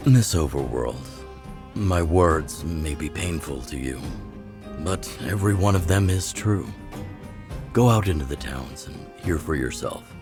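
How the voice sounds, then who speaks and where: A man voicing a gruff, wry older rebel chief in a video game, with a measured, sardonic delivery. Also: expressive, full character voice. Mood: grave, firm. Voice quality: deep, gravelly. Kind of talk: scripted video game voice acting. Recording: game music under the voice. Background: game music.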